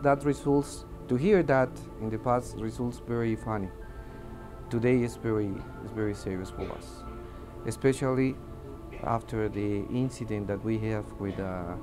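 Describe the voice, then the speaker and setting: A man's voice: fairly low pitch, unhurried. A man with a Mexican Spanish accent, a native Spanish speaker, giving interview testimony as an air traffic controller, hesitantly searching for words. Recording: music under the voice. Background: background music.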